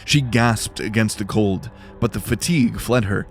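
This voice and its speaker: A man's voice: on the low side, normal speed. A man narrating fantasy fiction in a scripted, dramatic storytelling style. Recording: music behind the voice. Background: music.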